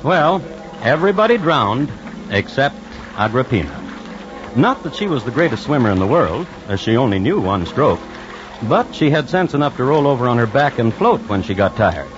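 A man playing a wry, droll narrator of historical crime tales in old-time radio style, deadpan and measured. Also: medium pitch, natural voice. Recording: dull old radio recording, music underneath.

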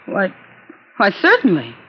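A woman's voice: mid-range voice, wildly swooping pitch, measured pace, bright, forceful tone, husky, full character voice. An actress in an old-time radio drama playing an uneasy, evasive woman who speaks hesitantly. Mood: guarded. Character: uneasy evasive woman, a hard man's lover. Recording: noisy old radio recording.

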